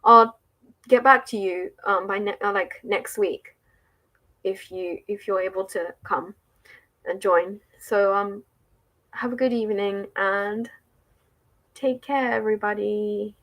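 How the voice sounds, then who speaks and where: A woman's voice: medium pitch, slow pace, pauses often, a bit bright, low-quality recording. A woman with an English accent chatting casually on a livestream, answering viewer comments with frequent laughs, likes and restarts. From England.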